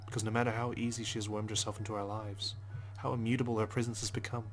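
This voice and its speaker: A man reading as a brooding police detective who narrates a visual novel in a measured, solemn way. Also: on the low side, flat delivery, natural voice. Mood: somber. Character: brooding, guilt-ridden male police detective narrating inwardly. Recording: music behind the voice.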